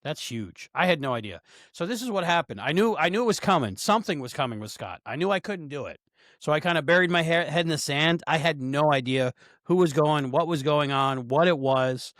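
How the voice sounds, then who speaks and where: A man's voice: on the high side, animated, a little fast, fairly bright tone, no room sound, clean studio sound. A man co-hosting a podcast, rambling casually with lots of fillers and restarts. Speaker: podcast co-host.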